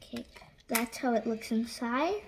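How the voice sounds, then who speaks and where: A woman's voice: fairly high pitch, lively intonation, unhurried. A young girl hosting a casual hands-on toy unboxing indoors at a table. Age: child. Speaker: toy review host. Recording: clicky, noisy home recording.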